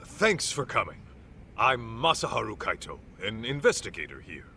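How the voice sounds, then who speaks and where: A man's voice: on the low side, sing-song, moderate pace, creaky, sharp tone, a little hiss or hum, exaggerated voice. A man playing a courteous, probing middle-aged private investigator in an English-dubbed video game drama. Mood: courteous, affable. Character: gruff but courteous male private investigator.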